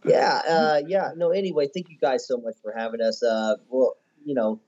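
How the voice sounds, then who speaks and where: A man in his thirties with an American accent talks casually as a podcast guest. His medium-pitched voice comes through a little dull, with the top end cut off. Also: steady tone, moderate pace, darkish tone. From US Midwest. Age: 30s. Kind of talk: casual chat.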